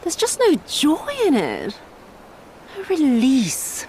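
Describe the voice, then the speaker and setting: A woman's voice: sits high, huge pitch swings, slow, a little mellow, a little hiss or hum, full character voice, poor audio. A woman playing a cruel, capricious imperial lady in a game cutscene, imperious and mocking in a theatrical way. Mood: frustrated, bored. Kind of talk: video game cutscene acting.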